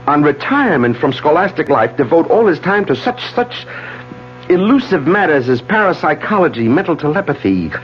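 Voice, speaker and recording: An actor playing a skeptical, agitated scientist doctor in a 1970s radio drama, with formal, earnest delivery. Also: male voice, fairly high pitch, very animated, moderate pace. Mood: troubled, incredulous. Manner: stammering when alarmed, formal. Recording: dull 1970s radio broadcast, faint music.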